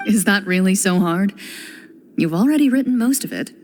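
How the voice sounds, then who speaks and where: A woman voicing a composed, stern young female duelist in a game cutscene, speaking in a calm, measured, quietly commanding way. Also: mid-range voice, close to an everyday voice. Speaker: game character, a duelist hunter. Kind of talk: video game cutscene voice acting.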